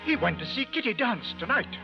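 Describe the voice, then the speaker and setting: An actor in a 1940s radio drama playing a skeptical, reasonable middle-aged householder who muses aloud. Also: male voice, very high voice, big pitch swings, brisk, vocal fry, music in the background, full character voice. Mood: concerned. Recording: dull old 1940s radio recording.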